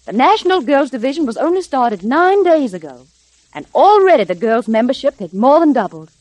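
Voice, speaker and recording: A woman's voice: high-pitched, sing-song, a little fast, a little mellow, no echo. An actress playing a cheery, proud young lady club president, polite and enthusiastic, in 1930s radio style. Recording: vintage 1930s radio recording.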